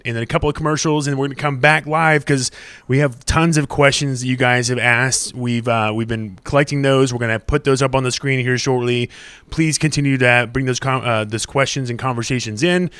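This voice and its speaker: A man's voice: medium pitch, fairly even intonation, brisk, fairly bright tone, clear, resonant tone, no echo. A man hosting a live show in a casual, conversational style. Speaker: show host.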